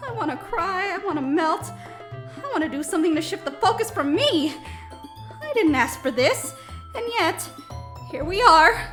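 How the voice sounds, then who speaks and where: A woman's voice: very high voice, lively intonation, normal speed, fairly bright tone. A woman playing an anxious, earnest young female student who narrates a visual novel audio drama in a dramatic, hesitant way. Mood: exasperated, anxious. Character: anxious, exasperated young female student, new club member. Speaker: voice actor narrating and playing the lead. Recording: music behind the voice.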